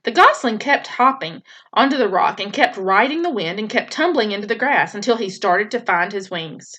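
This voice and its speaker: A woman's voice: mid-range voice, animated, moderate pace, fairly bright tone. A woman teacher reading a children's novel aloud, storytelling expressively with occasional slips and restarts.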